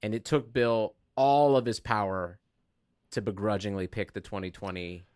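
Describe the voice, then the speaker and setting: A man's voice: lowish voice, fairly even intonation, normal speed, darkish tone, no echo, studio quality. A man co-hosting a podcast in casual sports banter, sarcastic and given to swearing. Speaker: podcast co-host.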